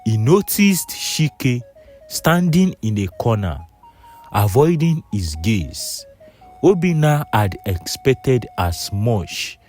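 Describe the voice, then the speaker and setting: A man's voice: medium pitch, big pitch swings, slow, darkish tone, faint music behind. A man narrating a scripted folktale in storybook style.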